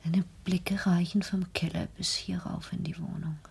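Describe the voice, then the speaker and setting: Radio drama daughter, young adult: hushed and very husky, lowish, measured but accusing and wounded. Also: female voice, level tone, heavily acted. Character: wounded, probing young daughter confronting her mother. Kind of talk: German radio play acting.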